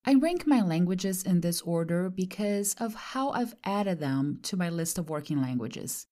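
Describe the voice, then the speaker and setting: A woman in her forties from Brazil, a native Portuguese speaker, narrating her podcast in a measured, reflective way. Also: on the low side, expressive, dark tone. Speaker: podcast host.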